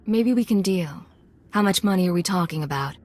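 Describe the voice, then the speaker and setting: Game role: cool female mercenary. Lowish pitch, composed, guarded, self-assured. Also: expressive, a little fast, darkish tone. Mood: curt, cool. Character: cool, confident female secret agent posing as mercenary. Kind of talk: video game voice acting.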